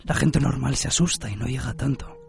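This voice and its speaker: Guy doing a bored mocking death demon, gravelly fry, theatrical, radio play w music under it. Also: mid-range voice, a little fast, lightly acted. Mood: amused. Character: amused, taunting death spirit, a bored male shinigami. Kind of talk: anime radio drama acting.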